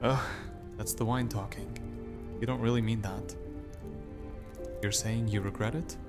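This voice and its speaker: A man's voice: fairly low pitch, fairly even intonation, unhurried, lightly acted. A man playing a serious, brooding vampire prince in an audio drama. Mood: guarded. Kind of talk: immersive audio drama roleplay. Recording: music behind the voice.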